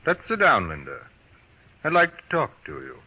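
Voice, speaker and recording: An actor playing a cold, possessive, murderous husband in an old-time radio drama, with formal, sardonic, deliberate phrasing. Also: male voice, mid-range voice, huge pitch swings, slightly rough, lo-fi sound. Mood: cold, controlled. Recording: old radio broadcast recording.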